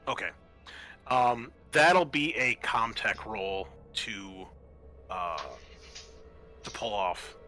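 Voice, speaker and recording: Guy game mastering a tabletop rpg, bright voice, kinda hesitant explaining, soft music behind. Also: lowish voice, expressive, extremely slow, long pauses. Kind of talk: casual tabletop game narration.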